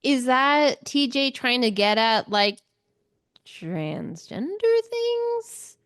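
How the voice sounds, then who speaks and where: A woman's voice: sits high, sing-song, extremely slow, fairly bright tone. A woman co-hosting a book podcast, chatting casually and expressively, readily slipping into quoted lines. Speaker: book club podcast co-host. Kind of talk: casual book discussion chat.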